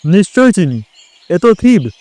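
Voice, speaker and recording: Ageing, excitable gentleman for radio play, higher register, slight ambient noise. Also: normal speed. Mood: surprised. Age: older. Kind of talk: audio drama dialogue.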